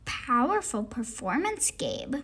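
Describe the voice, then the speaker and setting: A woman's voice: on the high side, big pitch swings, takes it slowly, a bit bright, slightly rough, full character voice. A woman voicing a stern male monster boss in a storybook, giving orders in a commanding, teacherly manner. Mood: assessing.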